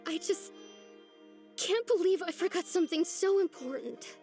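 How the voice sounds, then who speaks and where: A woman playing a wistful, sincere young village girl in a video game, with soft music behind her. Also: very high-pitched, normal speed. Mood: grateful, sheepish. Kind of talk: video game dialogue acting.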